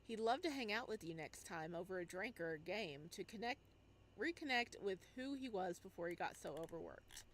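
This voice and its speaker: A woman with a mid-pitched voice reads game text aloud as the host of a playthrough, adding casual reactions along the way. There is clear background noise under her voice. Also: expressive, moderate pace, a bit bright.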